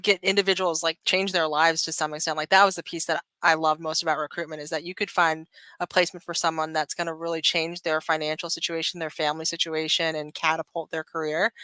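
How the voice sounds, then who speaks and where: A woman's voice: low voice, little pitch movement, quick pace, bright, forceful tone, no room sound. A woman being interviewed as a sales manager, talking in a chatty professional way and often saying "like" and "kind of".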